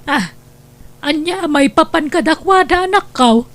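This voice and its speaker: A woman's voice: high voice, expressive, a little slow, a bit bright, no room sound, full character voice. An actress playing an indignant, incredulous mother in a comedy drama, speaking in exclamations. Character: indignant, incredulous mother and scolding younger sister. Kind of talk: Ilocano comedy drama acting.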